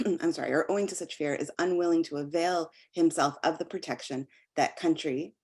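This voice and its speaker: An American woman, an academic researcher, giving an explanatory slide lecture in a halting way with frequent pauses and ums. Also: deep voice, darkish tone, breathy edge. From United States.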